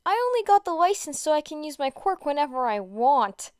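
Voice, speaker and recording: A woman's voice: sits high, sing-song, fairly quick, darkish tone, dry recording, studio quality. A woman voicing a flippant, mischievous male hero for a story reading, airy and mock-innocent. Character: flippant, mischievous, theatrically carefree male scam-artist hero.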